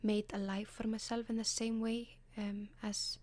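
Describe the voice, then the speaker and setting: A woman with an Icelandic accent, whispering gentle advice in an ASMR style, often pausing with soft ums. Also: medium pitch, level tone, no room sound. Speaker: ASMR advice column host.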